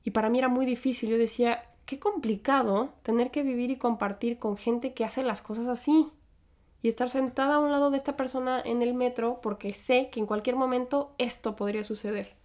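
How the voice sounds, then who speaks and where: A woman's voice: fairly high pitch, fairly even intonation, fairly quick, darkish tone. A young Mexican woman with a Mexican Spanish accent, talking candidly to camera in a reflective personal monologue, with frequent fillers and restarts. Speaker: vlogger.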